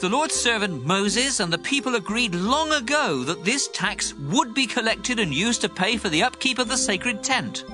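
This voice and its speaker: A man playing a commanding, impatient young king in an audio Bible drama, speaking in an urgent, demanding way. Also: sits high.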